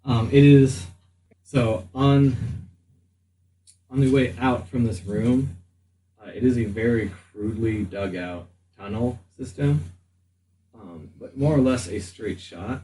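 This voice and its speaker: Halting man game master, distant in the room. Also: medium pitch, dark tone. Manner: halting, pausing mid-sentence. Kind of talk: improvised narration of a tabletop game. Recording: noisy room, distant mic.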